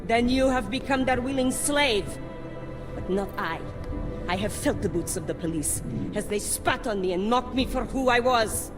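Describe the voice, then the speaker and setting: An actress playing a fanatical cult high priestess in a video game, theatrical, pompous and reverent. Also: female voice, normal speed, natural voice. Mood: outraged, righteous. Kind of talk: cinematic video game acting. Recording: dramatic music behind the voice.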